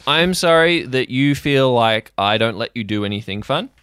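Man acting out an anxious, placating counselor, bright and clear. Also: mid-range voice, expressive, normal speed, close to an everyday voice. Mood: earnest, apologetic. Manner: hesitant, earnest, stumbling therapist-speak. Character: anxious, placating adult counselor minding runaway kids.

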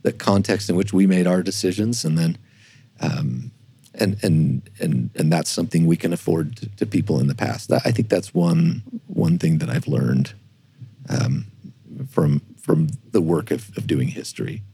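A man in a reflective unscripted discussion as a church historian, speaking haltingly and repeating words as he thinks aloud. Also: low voice, expressive, creaky, mellow, slightly rough, dry recording. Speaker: church historian, podcast guest.

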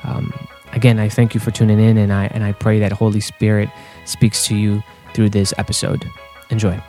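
A man hosting a faith podcast, talking earnestly and a little haltingly with fillers and restarts. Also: fairly low pitch, monotone, warm tone. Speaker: podcast host. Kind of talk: casual podcast intro. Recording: clear guitar music underneath.

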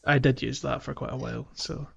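A man's voice: mid-range voice, little pitch movement, brisk, creaky, husky. A man hosting a casual gaming podcast, explaining things in a hesitant, trailing way. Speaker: podcast host.

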